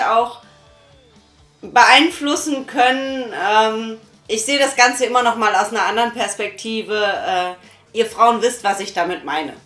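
A woman's voice: on the high side, a bit bright. A young woman reviewing an audio drama, talking frankly and emphatically from a sofa in a small living room, recorded by a distant camera. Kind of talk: casual review chat. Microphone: far camera. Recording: roomy room sound, faint music.

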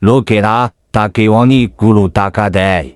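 A man's voice: on the low side, fairly even intonation, measured pace, slight background noise. A man telling a folk tale as a scripted storyteller.

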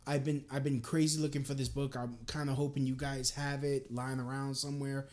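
A man's voice: medium pitch, little pitch movement, fairly quick, a little mellow. A man hosting a live prank call show in casual chat, often putting on earnest politeness.

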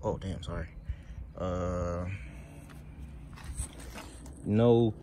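A man's voice: sits low, very slow. Teasing man filming a car lot. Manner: teasing, chatty, addresses viewers as y'all. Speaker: car flipping vlogger. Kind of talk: casual walkaround commentary. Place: outdoor car auction lot. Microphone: handheld phone camera. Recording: phone video with outdoor noise.

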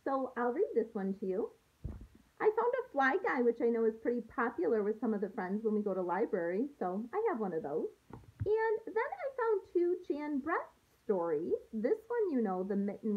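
A woman in her thirties, a teacher reading aloud to young children with an expressive, sing-song delivery, recorded on a handheld-distance device at home. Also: a little high, moderate pace, husky, muffled recording. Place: small room at home, indoors. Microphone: phone or laptop at arm's length.

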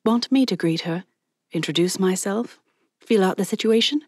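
A woman narrator voicing a bold, chatty young woman who speaks clearly and confidently in an audiobook. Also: medium pitch, normal speed, a little mellow, no echo, clean studio sound, lightly acted. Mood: eager, scheming.

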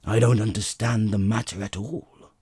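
A man voicing a timid, bewildered barber who mutters in a low, uncertain way. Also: steady tone, normal speed, a little mellow, husky, no echo, studio quality. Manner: muttering, hesitant. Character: bewildered timid middle-aged male barber.

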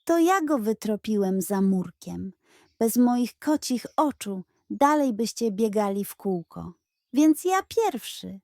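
A woman's voice: mid-range voice, sing-song, slow, warm tone, no echo, studio quality. A woman voicing a haughty, sly male cat with a drawl in a children's audio story.

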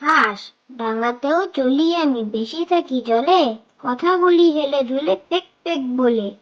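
A woman's voice: sits high, unhurried, muffled recording. A woman reciting children's nursery rhymes in a sing-song style, in short phrases.

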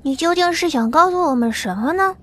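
Woman voicing a weary young psychic, quiet, thoughtful, bright high voice, soft music behind. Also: animated, fairly quick. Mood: pondering.

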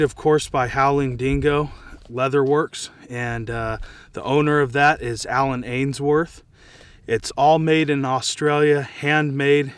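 A man's voice: medium pitch, fairly bright tone. An American man casually demonstrating and reviewing gear to camera, speaking outdoors. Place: outdoors in dry scrubland.

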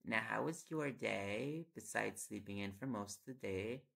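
A man's voice: on the low side, normal speed, vocal fry, fairly bright tone, full character voice. A man playing a tired, affectionate young reporter boyfriend in an intimate audio roleplay, playful and coaxing in manner. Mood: tender, playful. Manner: playful, coaxing, rambling when on a topic. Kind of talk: intimate audio roleplay, sleep-aid acting.

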